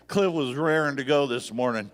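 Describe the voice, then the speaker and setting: A folksy lawman acted by a man on a radio play stage. His mid-pitched trips into repeated words, and the theatre gives it a long echo. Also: unhurried, lightly acted. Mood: eager, folksy. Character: folksy Old West sheriff. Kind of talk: live Western radio play acting. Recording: echoey theatre hall.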